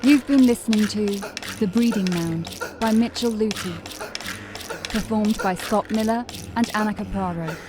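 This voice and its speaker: A woman's voice: mid-range voice, moderate pace. Woman reading credits over noisy background. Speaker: credits announcer. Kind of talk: scripted credits read.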